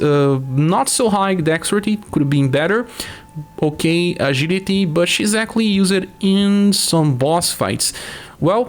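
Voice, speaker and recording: A man with a Brazilian Portuguese accent and a sore throat from the flu, hosting his gaming channel and explaining game news in a casual way with many pauses. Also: fairly high pitch. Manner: explanatory, talks through stats with frequent "uh" pauses.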